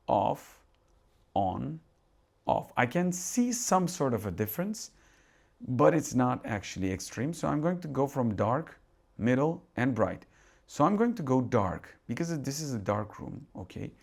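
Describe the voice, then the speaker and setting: A man from Turkey with a Turkish accent, a tech reviewer talking casually through settings as he changes them, thinking aloud with restarts and asides in a dark home cinema room. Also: mid-range voice, creaky, breathy edge. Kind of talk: casual live product demo.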